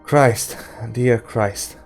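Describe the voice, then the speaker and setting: A man's voice: mid-range voice, very slow, faint music behind. A man voicing a weary, wary man in an audiobook, grumbling to himself in exasperation. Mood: weary dismay.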